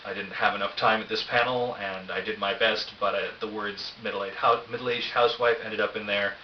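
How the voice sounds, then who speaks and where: Low-voiced man, echoey dull recording. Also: fairly even intonation, a little fast, distant mic. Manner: hesitant, self-deprecating, wry. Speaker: vlogger. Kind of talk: casual rambling convention recap. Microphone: cheap camera, some distance away. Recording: roomy echo, dull cheap camera mic, hiss.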